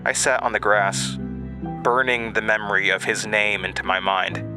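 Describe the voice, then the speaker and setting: A young man acting as a haunted narrator in an audio drama, recalling his childhood in a halting, reflective monologue. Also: fairly low pitch, fairly even intonation, natural voice. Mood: wistful, reflective. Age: young adult. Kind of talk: dramatic first-person monologue, reminiscing. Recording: music bed under voice. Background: music.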